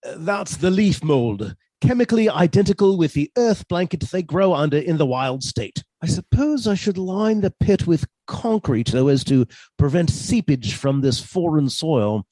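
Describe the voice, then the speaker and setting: An actor playing a meek, henpecked professor, mild and deferential, in a suspense radio play. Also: male voice, a little high, very animated, moderate pace, dark tone, dry recording. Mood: mild, apologetic. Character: meek, henpecked British professor husband, quietly fussy.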